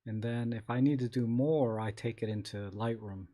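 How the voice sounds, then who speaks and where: A man chats casually on a live stream, reading out viewer questions and answering them. He rambles a bit and restarts his sentences often. His voice has a slight scratchiness and sits at a medium pitch. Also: normal speed. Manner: rambling, relaxed, many restarts and fillers. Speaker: host of a live photography Q&A stream.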